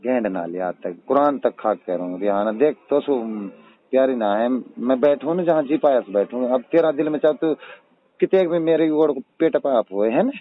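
A man with a husky voice and a Mewati accent, chatting casually in a private phone call. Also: mid-range voice, expressive, normal speed. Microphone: telephone. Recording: muffled, tinny phone call recording.

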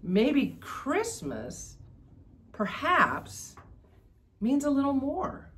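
A woman reading aloud, voicing the grouchy male Grinch as he muses slowly and quietly. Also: high-pitched, sing-song, pitched above the performer's own voice, heavily acted. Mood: wondering.

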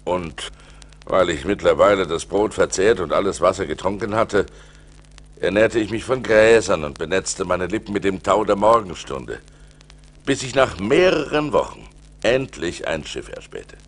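An actor playing an adventurous seafarer who recounts his perils in dramatic, intense audio play narration, swinging from hushed to urgent. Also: low-pitched, expressive, moderate pace, big-room sound. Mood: measured recounting. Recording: noisy vinyl record transfer.

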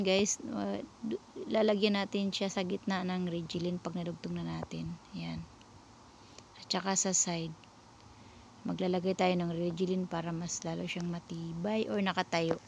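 Slow, halting Filipino woman teaching sewing. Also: fairly low pitch, creaky, a little mellow, slight background noise. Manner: halting, searching for words, often addressing viewers. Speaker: dressmaker giving a sewing tutorial. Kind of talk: casual step-by-step voice-over tutorial.

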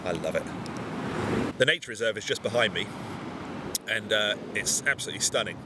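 A man in his forties, from England, chatting casually outdoors on a beach, relaxed with lots of ums and uhs. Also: on the low side, brisk. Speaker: bushcraft vlogger. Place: outdoors on a sunny beach, windy. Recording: loud wind and sea noise.

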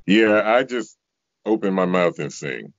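A man's voice: low voice, expressive, a little slow, dry recording. A man in his fifties, a singer and voice actor, answering interview questions in a reflective, conversational way. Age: 50s. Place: indoor room, video call. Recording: dull, lo-fi video call audio.

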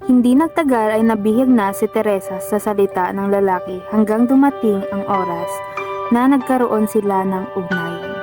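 A woman's voice: medium pitch, moderate pace. A woman with a Filipino accent narrating a scripted radio drama as a storyteller. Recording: music playing behind the voice. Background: instrumental music.

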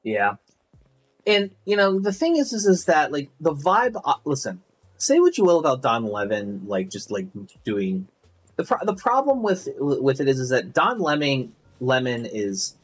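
A young man streaming a game from home on a headset, chatting loudly and casually, swearing and restarting often. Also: medium pitch, huge pitch swings, a little slow, breathy edge. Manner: loud, rambling, swears freely, many restarts. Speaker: streamer and podcast host. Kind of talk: casual chat while gaming. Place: home room at a gaming desk.

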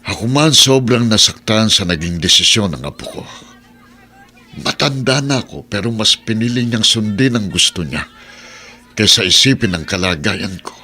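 A man's voice: on the low side, lively intonation, pushed and bright, husky, exaggerated voice. A weary old grandfather. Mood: weary, hurt. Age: older. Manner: slow, weary and subdued. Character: elderly grandfather, hurt and resigned. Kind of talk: radio drama acting.

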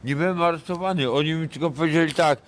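A middle-aged man from Poland, high and rambling, swearing a lot in a casual talk on a city street at night. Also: fast talker. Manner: crude and sweary, rambling, mixes in broken English. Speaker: homeless man interviewed on the street. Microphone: vlogger's handheld camera mic.

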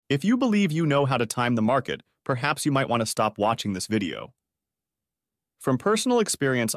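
A man presenting a scripted finance explainer in a didactic, measured manner with rhetorical questions. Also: mid-range voice, no room sound, clean studio sound.

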